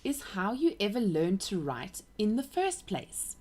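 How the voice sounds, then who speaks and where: A woman voicing an eager, anxious young girl in a high voice. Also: very animated, unhurried, darkish tone.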